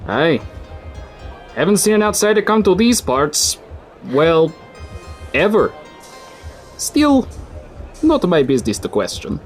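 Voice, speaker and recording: A chatty, folksy local in his thirties, played by a man. His voice sits a little high and moves lively up and down, with soft music under the scene. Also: slow pace, long pauses. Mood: friendly. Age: 30s. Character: friendly male city dweller, folksy and helpful. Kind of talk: audio drama acting.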